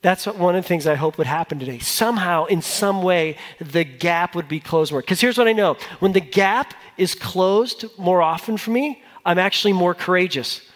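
Male pastor, fairly high voice, informal conversational sermon, long hall reverb. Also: normal speed, hardly any pauses, fairly bright tone. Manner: informal, repeats phrases for emphasis, asks listeners questions. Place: large church hall with a congregation.

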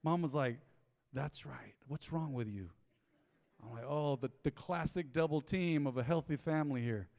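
A man, preaching a sermon in a folksy storytelling way, dropping to low asides and rising to loud emphatic bursts. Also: moderate pace, creaky, in a large hall. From Texas. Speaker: pastor. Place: church sanctuary.

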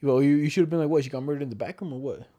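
A man's voice: medium pitch, racing, mellow. A young man with a smoky voice, co-hosting a podcast in casual chat, rambling with lots of fillers and slang. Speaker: podcast co-host.

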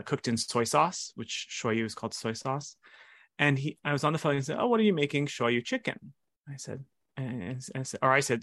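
A middle-aged man from Hawaii, a lawyer guest answering interview questions in a relaxed way, with many fillers and restarts. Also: mid-range voice, dry recording, clean studio sound.

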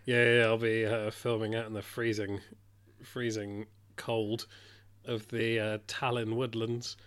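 A man co-hosting a film podcast, animated and laughing through his sentences, often restarting them. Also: fairly low pitch, measured pace, smooth. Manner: animated, laughs mid-sentence, self-interrupting. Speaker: podcast co-host. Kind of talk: casual film podcast chat.

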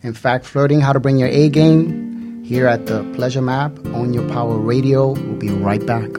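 A man's voice: medium pitch, normal speed, over loud music. A man co-hosting a radio show in a studio with casual, flirtatious banter.

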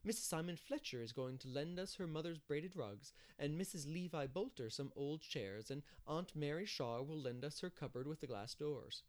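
Man playing a high, bustling village matron. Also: expressive, close to an everyday voice. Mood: bustling, chatty. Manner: chatty, brisk, sure of herself.

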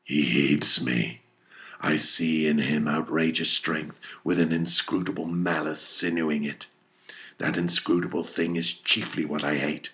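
An obsessed old sea captain, extremely deep and creaking. He speaks slowly in grand, archaic phrases, commanding and defiant, as in a dramatic audiobook. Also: steady tone, bright, forceful tone, heavily acted. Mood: defiant, wrathful. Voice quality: gravelly. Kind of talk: dramatic audiobook character reading.

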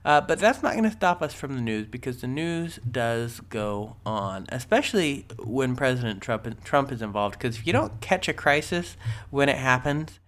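A man's voice: mid-range voice, animated, moderate pace, a bit bright. A man with a cold, sniffly, co-hosting a casual podcast and rambling through the news with many ums and restarts. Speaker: podcast co-host.

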